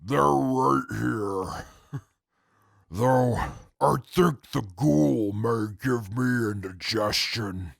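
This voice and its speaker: A man playing a huge, menacing, taunting male mutant prisoner with a gravelly voice in a post-apocalyptic radio drama. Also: mid-range voice, no room sound, clean studio sound, full character voice. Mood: taunting menace. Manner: slow, theatrical menace.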